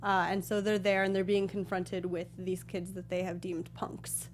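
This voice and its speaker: A woman's voice: on the low side, flat delivery, moderate pace, slight background noise. An American woman co-hosting a review show in casual, conversational discussion. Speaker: co-host of a film review show. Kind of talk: casual panel chat and review.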